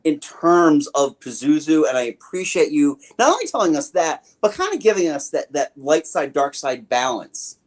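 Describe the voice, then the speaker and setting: A man's voice: a little high, big pitch swings, moderate pace, hardly any pauses. A middle-aged man hosting a podcast, chatting in an informal, joking way. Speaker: podcast host. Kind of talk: casual podcast chat.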